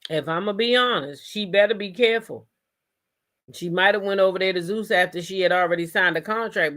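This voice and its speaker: A woman's voice: mid-range voice, fairly bright tone. An American woman doing casual live gossip commentary as host, sassy and emphatic, often repeating phrases for emphasis.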